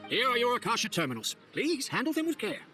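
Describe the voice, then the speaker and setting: High-voiced, courteous city official. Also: male voice, wildly swooping pitch, fast, bright, forceful tone, faint music behind, exaggerated voice. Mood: formal, informative. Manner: formal, polite, explanatory. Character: courteous male city official welcoming newly arrived travelers. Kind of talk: scripted video game dialogue.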